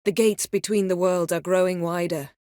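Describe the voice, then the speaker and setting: An actress playing a proud, sharp-tongued, guarded sorceress in a video game, speaking in a cool, clipped, dryly sardonic way. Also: female voice, fairly low pitch, fairly even intonation, moderate pace, no echo, studio quality, close to an everyday voice. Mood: measured, serious. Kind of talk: scripted game dialogue acting.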